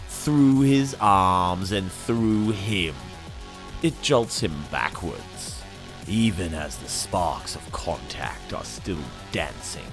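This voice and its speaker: Deep, slow, dramatic male narrator. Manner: grave, theatrical, deliberate. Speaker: narrator reading a novel excerpt. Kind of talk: dramatic scripted narration in second person. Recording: dramatic music under the voice. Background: cinematic soundtrack music.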